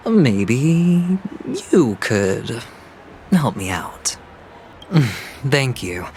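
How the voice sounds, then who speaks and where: A man's voice: mid-range voice, slight background noise. A man playing a suave, sly young gambler in a video game, with a smug, theatrical, teasing manner. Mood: playful, sly. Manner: teasing, theatrical, breaking into soft chuckles and hushed asides.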